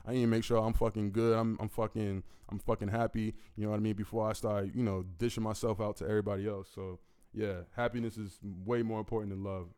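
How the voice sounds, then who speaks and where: A man in his twenties with a deep, gravelly voice, a musician answering fan questions in laid-back, profane casual talk. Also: level tone, quick pace, a little mellow. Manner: laid-back, profane, keeps asking "you know what I mean"; commanding, projecting delivery. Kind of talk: casual solo Q&A.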